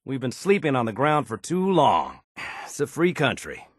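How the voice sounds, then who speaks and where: Lively, folksy wasteland handyman. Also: medium pitch, normal speed, no echo, clean studio sound. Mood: easygoing. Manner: folksy, colloquial, easygoing phrasing. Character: down-to-earth male wasteland handyman, folksy, modest, wry. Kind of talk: video game character dialogue.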